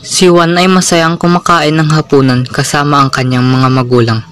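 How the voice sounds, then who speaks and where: A man's voice: on the high side, little pitch movement, normal speed, fairly bright tone, clean tone. A man narrating a scripted moral story for a puppet show.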